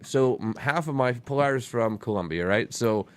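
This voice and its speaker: A man's voice: mid-range voice, lively intonation, moderate pace, no echo. A man hosting a radio talk show, joking with his co-hosts and laughing often, with a lot of restarts. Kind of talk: casual studio banter.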